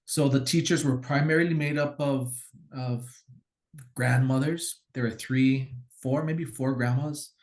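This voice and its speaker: A man's voice: mid-range voice, measured pace. Hawaiian man telling teaching stories. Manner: relaxed, explanatory, acts out quoted commands. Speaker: guest teacher. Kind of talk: casual storytelling conversation.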